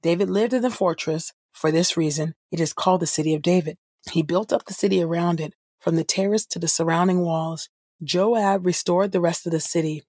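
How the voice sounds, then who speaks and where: Female reader for audio Bible: lowish, husky voice, measured delivery, dry close sound. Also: warm tone, no echo. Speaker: podcast host, scripture reader. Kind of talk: scripted audio Bible reading.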